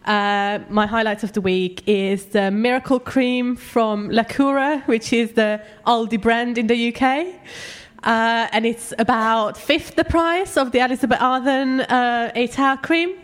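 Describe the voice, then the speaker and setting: A woman's voice: on the high side, measured pace. A woman from Hungary with a cold, introducing herself hesitantly with many fillers. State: has a cold. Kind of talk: casual introduction.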